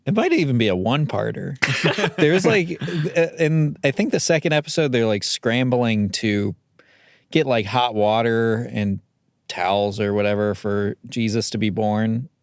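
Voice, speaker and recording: A man's voice: mid-range voice, moderate pace, dry recording, studio quality. A man chatting casually on a podcast in a wry way.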